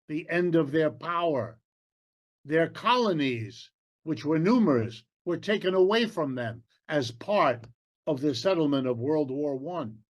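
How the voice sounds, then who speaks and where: Slow, deliberate German-born male lecturer. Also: fairly high pitch. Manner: slow, deliberate, emphatic phrasing with pauses and fillers. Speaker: history lecturer. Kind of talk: history lecture.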